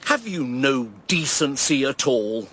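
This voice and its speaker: An actor playing a concerned, probing, indignant onlooker in radio drama dialogue. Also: male voice, mid-range voice, big pitch swings, slow, fairly bright tone, a little hiss or hum.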